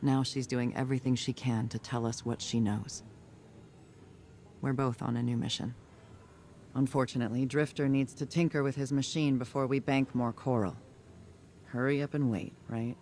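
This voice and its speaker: A male actor voices a restless, battle-worn soldier in a game monologue. His gravelly voice is terse and reflective, over faint ambient noise. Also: medium pitch, fairly even intonation, normal speed, long pauses, darkish tone, lightly acted.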